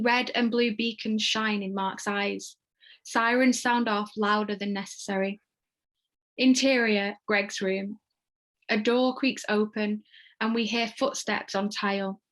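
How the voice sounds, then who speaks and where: A woman reading stage directions as narrator in a scripted table read. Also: medium pitch.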